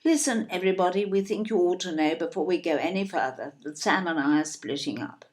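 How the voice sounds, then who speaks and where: A woman's voice: lowish voice, brisk, a little mellow, natural voice. A woman acting in an audio play as an indignant, snobbish older English mother, fussy and emphatic, with an English accent. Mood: aggrieved disbelief. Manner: emphatic, repetitive, tag questions.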